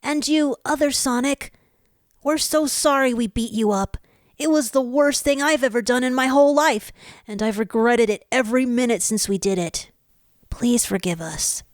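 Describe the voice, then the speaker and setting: A woman's voice: fairly high pitch, moderate pace, no echo, clean studio sound, close to an everyday voice. A woman voicing a sweet, earnest young fox boy with a childlike manner in a fan audio drama. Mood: remorseful.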